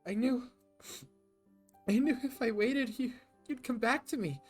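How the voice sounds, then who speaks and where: A woman's voice: mid-range voice, animated, unhurried, voice pushed up. A man voicing a tearful, overwhelmed young woman who talks in breathless, sobbing bursts. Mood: tearful, overjoyed. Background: music.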